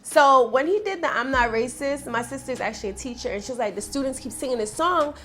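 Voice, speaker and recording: Lively rapper woman, fairly high voice. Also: fast talker. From Jamaica. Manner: animated storyteller, calls people honey. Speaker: rapper guest. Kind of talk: casual interview chat.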